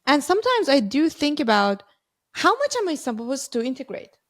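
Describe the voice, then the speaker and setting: A woman host who speaks English as a second language, with Persian as her first, poses long and searching questions. Her voice sits a little above the usual pitch for a woman. She talks softly and thinks aloud about her own life as she asks. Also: very animated, moderate pace. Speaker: podcast host. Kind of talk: interview questions.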